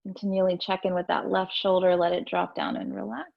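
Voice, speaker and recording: A woman guides a gentle yoga and breathing practice in a fairly low voice with a lot of creak. Her delivery is soothing and unhurried, leaving space between instructions. The recording is a little dull and lo-fi. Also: little pitch movement. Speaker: yoga and meditation teacher.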